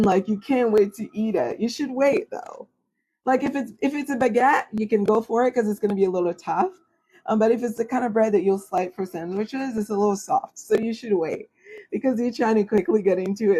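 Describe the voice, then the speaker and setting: A woman's voice: fairly low pitch, vocal fry, mellow, breathy edge, rough recording. A woman whose first language is French, hosting an astrology livestream and chatting casually with viewers, full of "like" and "you know". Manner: self-described formal when speaking English.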